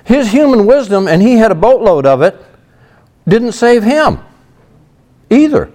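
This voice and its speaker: A man's voice: high voice, sing-song, measured pace, darkish tone. A middle-aged man teaching a sermon through a Bible passage in a conversational way, leaning on "you know" as he explains. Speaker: pastor.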